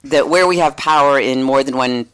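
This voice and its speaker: A woman with a low voice talks casually as a guest on a podcast. Her speech wanders, filled with "like" and little acted-out quotes. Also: moderate pace, smooth. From United States. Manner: chatty, many "like" asides, acts out quotes. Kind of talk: casual conversation.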